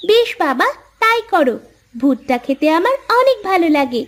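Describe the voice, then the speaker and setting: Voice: extremely high pitch, very animated, normal speed, a bit bright, exaggerated voice. A performer voicing a cheeky, affectionate young farmer's daughter in a moral-story cartoon, teasing and a little bossy. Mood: cheerful.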